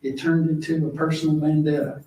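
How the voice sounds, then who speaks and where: A man's voice: on the high side, flat delivery, brisk, warm tone. Stern man in a very echoey room. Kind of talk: formal courtroom remarks. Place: courtroom. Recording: very echoey room, distant microphone.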